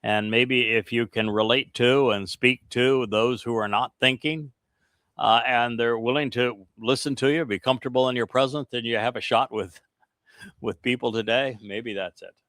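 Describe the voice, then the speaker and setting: A man's voice: mid-range voice, steady tone, moderate pace, fairly bright tone, no room sound, studio quality. A man teaching scripture in an earnest, emphatic cadence, drifting into rambling, chuckling asides to the people with him. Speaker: religious teacher.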